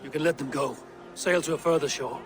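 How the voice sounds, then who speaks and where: An actor playing a confident Viking warrior in a video game, dry, wry and self-assured in manner. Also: male voice, fairly high pitch, fairly quick, close to an everyday voice. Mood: calm. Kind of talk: video game dramatic acting.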